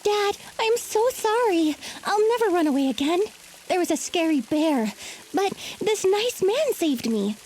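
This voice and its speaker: A woman's voice: extremely high pitch, big pitch swings, unhurried, darkish tone, slight background noise, exaggerated voice. A woman voicing an innocent, frightened little girl with a pleading, childlike manner in a mystery game. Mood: shaken, sorry. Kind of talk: dramatic game voice acting.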